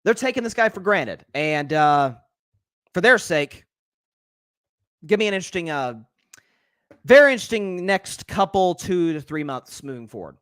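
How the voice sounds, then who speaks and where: A young man hosting a solo sports talk show, talking quickly and animatedly, often repeating words as he builds a point and reading out viewer comments. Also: sits high, lively intonation, a bit bright, clear, resonant tone, dry recording, studio quality.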